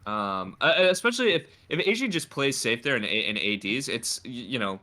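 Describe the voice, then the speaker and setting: A man's voice: medium pitch, big pitch swings, moderate pace, bright, forceful tone. A man coaching a player through a game replay in a casual, chatty way, with a slightly stuffy nose. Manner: chatty, lots of filler words. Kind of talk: casual gameplay review.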